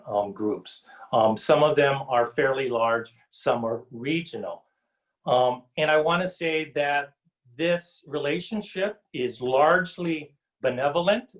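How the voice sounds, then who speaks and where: A middle-aged man from Samoa giving a slow, deliberate webinar talk from home, with many pauses and ums. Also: on the high side. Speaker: guest speaker. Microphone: video call. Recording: dull lo-fi video call audio.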